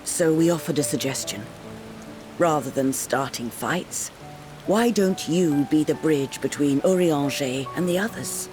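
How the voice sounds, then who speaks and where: An actress playing a middle-aged grieving mother in a video game, tender and gently scolding, with measured, heartfelt phrasing. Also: low-pitched. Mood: fond remembering. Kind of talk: dramatic video game voice acting. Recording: rain and music behind. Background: rain, music.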